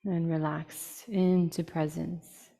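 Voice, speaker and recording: A woman leading a guided meditation in a slow, soothing style, giving unhurried instructions in short phrases with long pauses. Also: sits low, little pitch movement, gravelly, warm tone. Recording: long reverberant tail.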